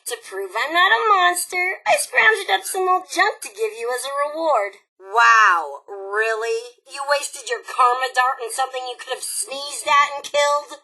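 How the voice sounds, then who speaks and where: A woman's voice: sits high, unhurried, few pauses, full character voice, low-quality recording. A woman voicing a crazy, murderous female raider robot in a video game, sassy, bossy and impatient, with sarcastic, slangy delivery. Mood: mocking, exasperated. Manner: sarcastic, slangy, theatrically expressive. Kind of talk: video game character dialogue.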